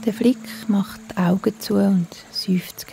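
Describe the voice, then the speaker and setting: A woman with a Swiss German accent, telling a bedtime story in a soft, hushed, soothing way. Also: mid-range voice, steady tone, takes it slowly, gravelly, slight background noise.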